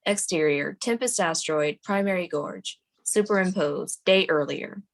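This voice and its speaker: A woman's voice: on the low side, moderate pace. A woman reading scripted stage directions aloud as the narrator of a screenplay table read.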